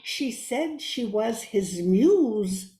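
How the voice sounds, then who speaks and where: An earnest, easily awed woman, acted by a woman in a radio play. Her voice is high and flustered, with breathless hesitations, and it echoes around the room. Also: animated, drawn-out words, warm tone. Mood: distraught.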